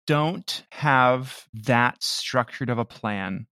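A man chatting casually and reflectively as an interviewed comedy creator, sometimes halting as he thinks. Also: medium pitch, sharp tone, no room sound, studio quality.

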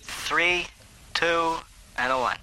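A man's voice: a little high, takes it slowly, loud music behind the voice, rough recording. A man playing a distracted recording-session director in a comedy sketch, casual, offhand and a little hesitant.

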